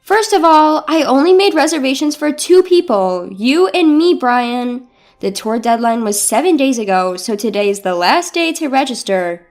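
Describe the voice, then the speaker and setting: A woman's voice: sits high, expressive, moderate pace, soft background music. A woman voicing an indignant young bride who protests incredulously in a dramatized story. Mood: firm, indignant. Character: indignant young bride standing her ground.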